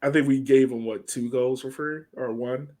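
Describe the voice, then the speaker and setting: Male podcast host, relaxed conversational sports talk, mid-range pitch. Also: moderate pace. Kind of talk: casual sports chat.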